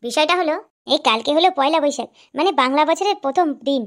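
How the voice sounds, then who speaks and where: A woman's voice: high-pitched, animated, fast. A woman voicing crude, boisterous young village drinking buddies bantering in a comedy cartoon. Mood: brash. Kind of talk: Bengali comedy cartoon dialogue.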